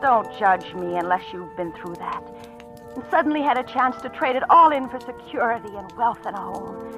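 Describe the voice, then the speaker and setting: An actress playing an anxious, defensive young divorcee in a 1940s radio drama, speaking in a dramatic confessional manner. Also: female voice, a little high, animated, moderate pace, fairly bright tone. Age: young adult. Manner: confessional, dramatic, hesitant in dialogue. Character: anxious, defensive young divorcee living under false identity. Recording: vintage radio broadcast, music behind.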